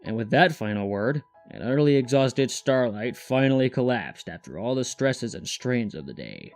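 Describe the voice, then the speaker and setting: A man's voice: mid-range voice, sing-song, normal speed, soft background music. A man reading scripted third-person story narration as the narrator of a fan audio drama.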